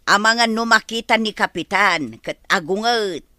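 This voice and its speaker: An actress playing a nagging, worried farmer's wife in an Ilocano radio comedy drama. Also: female voice, mid-range voice, normal speed, sharp tone, no echo, studio quality, natural voice. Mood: worried, scolding.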